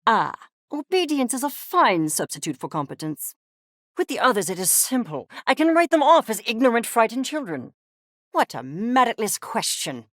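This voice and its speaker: A woman's voice: a little high, big pitch swings, moderate pace, fairly bright tone, no room sound, studio quality. A woman voicing a haughty, eccentric furry creature scientist in a video game, speaking in a verbose, condescending and theatrical way with precise articulation. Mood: scornful, impatient. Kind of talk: video game character acting.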